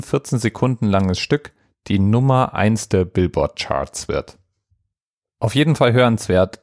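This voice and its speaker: A man's voice: fairly low pitch, a little mellow, dry recording, clean studio sound. A man hosting a podcast, explaining things in a casual solo monologue. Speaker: podcast host.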